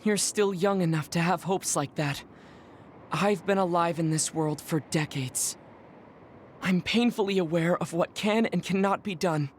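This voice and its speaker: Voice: mid-range voice, lightly acted. A performer in an English game dub voicing a psychic decades old in a child's body, speaking formally and precisely, proud but insecure, over music. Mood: bitter, resigned. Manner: formal, precise, measured speech. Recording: music behind the voice.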